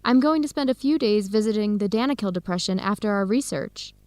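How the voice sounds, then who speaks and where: A woman's voice: medium pitch, animated, a little fast, darkish tone, no echo, natural voice. A woman with an American accent playing a friendly young researcher in an English-learning radio drama. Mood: upbeat, chatty. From United States. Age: young adult.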